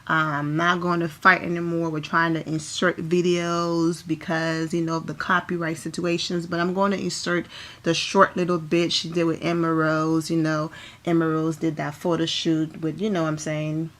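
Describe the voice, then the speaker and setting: Woman, from Liberia, lowish voice, chatty fashion commentary, enthusiastic. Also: flat delivery, moderate pace, a bit bright. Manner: chatty, enthusiastic, apologetic asides. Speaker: fashion vlogger. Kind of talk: casual commentary. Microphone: home voice recording.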